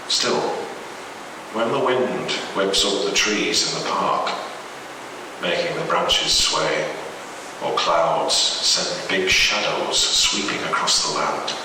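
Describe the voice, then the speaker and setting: A man narrates a scripted horror tale to a live event, drawing it out slowly and dramatically. The sound is heavily reverberant and picked up from a distance, with obvious noise. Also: fairly low pitch. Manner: slow, dramatic delivery. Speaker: story reader. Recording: echoey, noisy live hall recording from far off.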